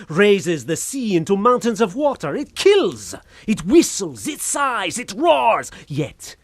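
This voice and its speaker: A man's voice: high-pitched, dry recording, full character voice. An actor playing a tormented, feverish Frenchman slipping into madness in an audio horror drama, with brooding, formal, theatrical diction.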